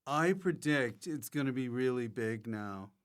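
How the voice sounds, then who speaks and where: A man's voice: medium pitch, expressive, measured pace, clean tone. A man hosting a podcast in casual comic chat, with a wry, bantering way of talking. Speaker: podcast host.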